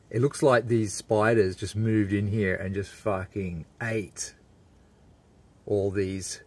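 An Australian man with a fairly low voice talks in an unscripted vlog style. He is laid-back and colloquial, chatting casually as he explains things. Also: a little mellow, husky. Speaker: vlogger.

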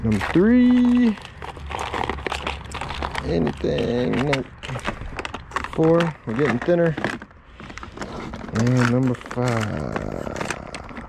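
A man picks through a storage unit and talks slowly, half to himself, perking up when he finds something good. The recording comes from a camera on his head outdoors. Loud noise sits under his voice. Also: medium pitch, wildly swooping pitch, vocal fry. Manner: unhurried, muttering to himself, upbeat when finding items. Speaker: reseller sorting a storage unit. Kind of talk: casual running commentary while digging. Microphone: head-mounted camera, point of view.

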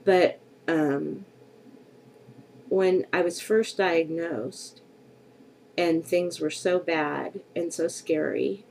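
A woman's voice: lowish voice, extremely slow, gravelly, long pauses, a little mellow, a little hiss or hum, low-quality recording. A middle-aged woman vlogging to her webcam at home, congested from a cold, speaking candidly and reflectively with many hesitations. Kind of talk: personal vlog to camera. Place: bedroom at home, indoors. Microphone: webcam at desk distance.

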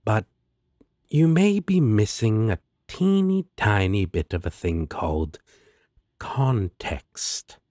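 A man's voice: fairly low pitch, lively intonation, slow pace, a little mellow, no room sound, clean studio sound. A man playing a smug, teasing demon with a sardonic, drawling manner in an audio roleplay. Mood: sardonic, explaining.

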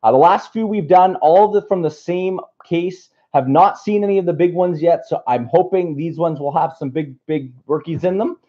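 A man from Canada hosting a live card break from a table indoors, upbeat and slightly raspy from being unwell. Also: a little high, moderate pace, few pauses, dull audio. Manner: upbeat, reads off a list of names. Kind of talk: casual live stream chat.

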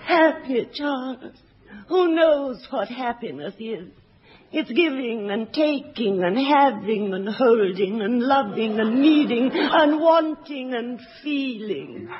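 An actress playing a melodramatic, frightened posh heroine in a spoof old film, on a dull 1960s radio recording. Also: female voice, fairly high pitch, expressive, takes it slowly, background noise. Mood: gushing. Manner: overwrought, gushing film-heroine delivery.

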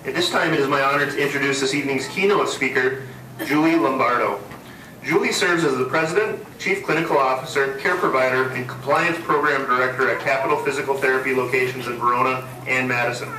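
A man's voice: medium pitch, fairly quick. An American man making a formal scripted introduction as master of ceremonies at a podium. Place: hotel hall, at a podium on stage. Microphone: podium mic, captured by far audience camera. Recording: echoey, distant hall, faint noise.

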